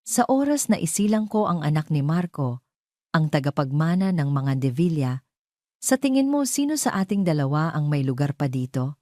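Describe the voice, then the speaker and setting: A woman's voice: low voice, sing-song, normal speed, dark tone, no echo, studio quality. Woman playing a haughty, venomous mistress, darkly muted. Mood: scornful threat. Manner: taunting, sneering. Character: spiteful pregnant mistress, haughty, desperate and venomous.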